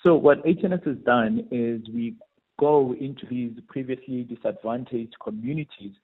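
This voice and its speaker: Male interview guest, South African accent, husky voice, explanatory, peppered with "you know", slightly muffled lo-fi sound. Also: medium pitch, normal speed. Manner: frequent "you know" as a verbal habit. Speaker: air traffic controller, interview guest.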